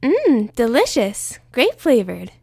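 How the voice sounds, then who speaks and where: A woman voicing a hyper, bouncy young female pony who is obsessed with candy, talking in an excited squeal. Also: fairly high pitch, slow, vocal fry, husky, no room sound, studio quality, heavily acted.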